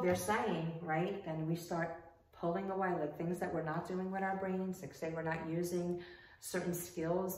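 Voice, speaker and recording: A woman's voice: lowish voice, recorded from a distance. A woman teaching a home lesson to children, who speaks Portuguese as her first language and keeps checking in with her students by asking "right?". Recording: echoey room, voice from off the mic, faint ambience.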